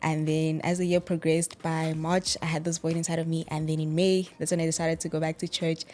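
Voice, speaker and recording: A woman's voice: low-pitched, level tone, brisk, darkish tone. A woman, chatting casually and expressively as a guest and acting out quoted remarks. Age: early 20s. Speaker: interview guest. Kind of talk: casual chat, personal storytelling.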